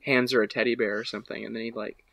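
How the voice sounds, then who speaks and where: A man's voice: mid-range voice, little pitch movement, racing, vocal fry, fairly bright tone, no echo. A man in his twenties, a podcast co-host on a video call, telling a story casually with "like" scattered through it. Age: 20s. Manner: peppers talk with "like". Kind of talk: casual rambling storytelling with friends. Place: small room at home, indoors.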